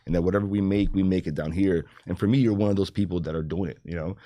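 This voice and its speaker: A man's voice: low voice, quick pace, dark tone, no room sound. A man hosting a comedy podcast, laid-back with stumbling restarts, whose first language is not English. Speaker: podcast host. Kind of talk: casual podcast chat.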